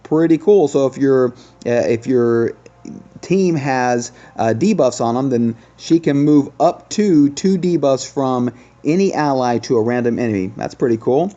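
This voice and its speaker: A man's voice: medium pitch, lively intonation, measured pace, mellow. A man hosting a casual game review, talking conversationally and thinking aloud as he goes. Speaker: gaming channel host. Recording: dull, lo-fi sound.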